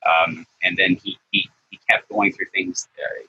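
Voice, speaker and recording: A man speaking as an interview guest in a monotone. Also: low voice, measured pace, husky, lo-fi sound. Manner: monotone, forceful. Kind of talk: interview conversation.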